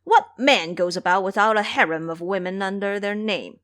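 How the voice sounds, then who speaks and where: A woman's voice: medium pitch, normal speed, a bit bright, lightly acted. A woman playing a foolish, eager male pig-monster in an audio drama, pleading and blustering. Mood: greedy, boastful.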